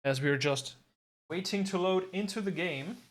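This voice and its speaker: A man's voice: high voice, normal speed. A man giving casual live commentary on an esports match from his home setup, thinking aloud and adding self-deprecating asides. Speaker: esports co-streaming caster.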